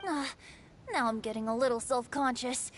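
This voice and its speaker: A woman's voice: on the high side, fairly even intonation, moderate pace, a little hiss or hum, lightly acted. A woman voicing a playful, youthful, self-effacing wanderer in an anime game, teasing and polite. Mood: gracious, bashful.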